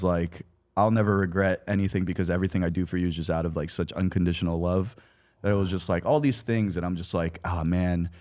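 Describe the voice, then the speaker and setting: A man with a low-pitched voice speaks in casual, personal conversation. He is reflective and somewhat halting, often padding his phrases with "like" as he thinks aloud. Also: a little mellow. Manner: halting, reflective, heavy use of "like".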